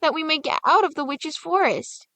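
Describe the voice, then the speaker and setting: A woman's voice: high-pitched, expressive, moderate pace, a bit bright, dry recording, voice pushed up. A woman reading as an earnest young boy. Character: young boy, hopeful older brother.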